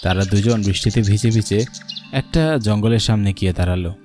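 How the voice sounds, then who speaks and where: A man reading scripted storybook narration for an animated tale. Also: on the low side, fast talker, music in the background.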